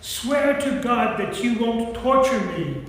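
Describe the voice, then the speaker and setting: A man's voice: very high-pitched, animated, slow pace, fairly bright tone, full character voice. A man playing a tormented legion of unclean spirits in a staged scripture reading, pleading theatrically in a church. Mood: fearful defiance. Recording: distant, very echoey church hall.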